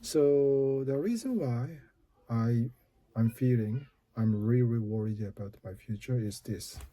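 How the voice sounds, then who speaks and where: A man in his fifties with a Japanese accent, talking slowly and haltingly about his own worries in a personal video. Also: medium pitch, dark tone. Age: 50s. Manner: slow, halting, careful phrasing. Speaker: office worker. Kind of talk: personal video talk to camera.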